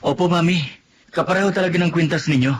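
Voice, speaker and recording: Earnest, higher-voiced young Filipino son. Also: male voice, quick pace, breathy edge. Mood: polite, curious. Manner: polite, earnest. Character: helpful, curious, polite young son. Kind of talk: Filipino radio drama acting.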